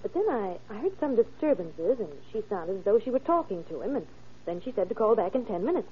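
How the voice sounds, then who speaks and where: An actress playing an earnest, worried doctor's secretary who gives her account briskly and precisely in an old radio crime drama. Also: mid-range voice, very animated, husky. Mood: concerned, brisk. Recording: dull vintage radio recording, faint noise.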